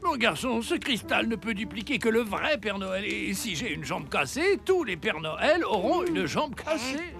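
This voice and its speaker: An actor playing a weary, fatherly old Santa Claus in a cartoon, hesitant and deliberate, with a gravelly voice. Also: high-pitched, sing-song, full character voice. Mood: worried, resigned. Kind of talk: French cartoon dubbing. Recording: music behind the voice.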